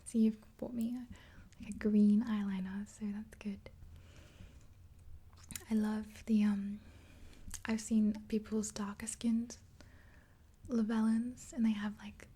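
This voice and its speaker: A woman in her twenties doing a gentle ASMR roleplay in a soft, unhurried, breathy whisper, close to the mic. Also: mid-range voice, flat delivery. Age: 20s. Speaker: ASMR video creator. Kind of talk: ASMR roleplay, whispered makeover with rambling chat. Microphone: close to the mic, facing camera.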